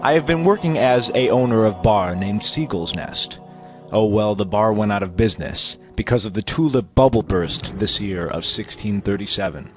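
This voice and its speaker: A man's voice: fairly low pitch, normal speed. A man narrating an amateur pirate story as a theatrical dramatic reading. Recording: music playing behind the voice. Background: music.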